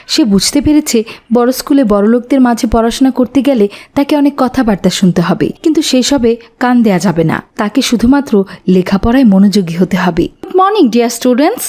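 A woman's voice: a little high, darkish tone. A woman narrating a scripted cartoon story.

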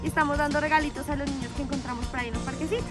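A woman's voice: high voice, steady tone, very fast. A young woman with a Colombian accent chatting casually and affectionately as she vlogs and greets people in the street. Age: young adult. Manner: affectionate, uses endearments and diminutives. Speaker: vlogger. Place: busy outdoor commercial streets, some talk inside a car. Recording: street noise and music behind voice. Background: music, street crowd, vehicles.

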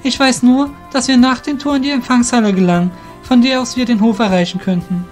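A man's voice: very high-pitched, fairly even intonation, fairly quick, soft background music, pitched above the performer's own voice. A man voicing an earnest young thief in an audio drama, focused and explaining things. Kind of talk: amateur audio drama acting.

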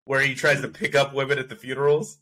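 Man, congested, bright forceful voice, casual podcast banter, studio mic. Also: medium pitch, level tone. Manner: joking, quick banter. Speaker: podcast co-host. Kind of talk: casual chat. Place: home office, indoors. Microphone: large studio mic on arm, video call.

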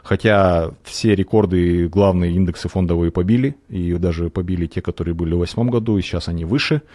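Low, dark-voiced male radio host. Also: dry recording, studio quality. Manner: measured, hesitant, explanatory. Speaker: radio show host, realtor. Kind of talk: educational radio talk. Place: radio studio. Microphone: studio microphone on arm, headphones.

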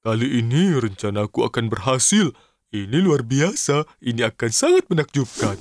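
A man voicing an excitable, stubborn little mustached character in a children's cartoon, with eager, theatrical delivery. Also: medium pitch, normal speed, slight background noise, close to an everyday voice. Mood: excited. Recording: music behind the voice.

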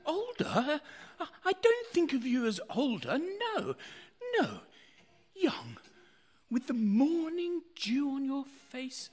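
A woman playing an amiable, sentimental old gentleman in a radio play, husky and halting. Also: high voice, wildly swooping pitch, exaggerated voice. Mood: wistful, tender. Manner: halting, hesitant, wistful.

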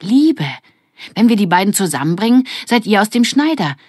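Woman playing an eager, high-voiced scheming girl. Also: sing-song, moderate pace, a little mellow, dry recording, clean studio sound. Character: scheming, eager young girl gang member.

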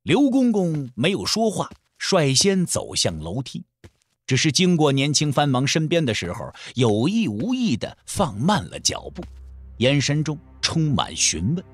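Expressive male audiobook narrator, lively pitch. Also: a little slow, husky, no room sound. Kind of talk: scripted novel narration, wuxia storytelling.

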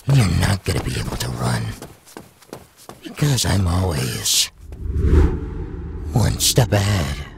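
A man playing a menacing, sadistic demon villain in an anime audio roleplay, taunting in a raspy, gravelly voice. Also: very deep voice, exaggerated voice. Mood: cold, menacing. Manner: slow, taunting, drawn-out. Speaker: voice actor.

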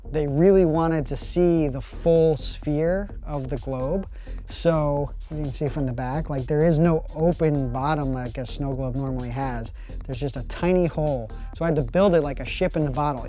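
A man in his thirties, a prop maker, casually showing and explaining his work in a workshop, talking easily with fillers. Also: medium pitch. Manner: relaxed, chatty, explaining with fillers. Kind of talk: casual show-and-tell narration. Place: indoor barn workshop with arcade machines.

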